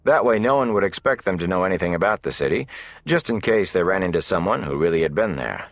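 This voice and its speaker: A man narrates a fantasy audiobook in a very low voice with a gravelly creak. His scripted reading moves with lively, varied intonation. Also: fast talker, no echo.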